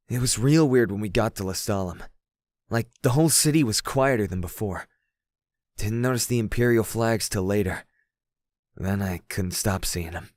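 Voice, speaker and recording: A man's voice: on the low side, no echo, studio quality, natural voice. A man playing a loyal, hot-tempered young man in an audio drama, speaking in a casual, slangy way. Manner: casual, slangy first-person storytelling. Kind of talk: audio drama narration and dialogue.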